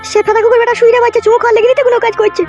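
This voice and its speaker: A woman's voice: extremely high pitch, flat delivery, very fast, a little mellow. A woman voicing an emphatic, plain-spoken wronged young wife in a comic cartoon, on a dull recording. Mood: indignant. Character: shrill wronged young married village woman, wary then yielding. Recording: dull lo-fi audio with music.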